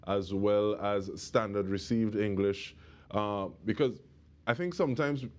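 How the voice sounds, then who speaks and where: A man's voice: lowish voice, darkish tone. A man, speaking as the host of a broadcast interview.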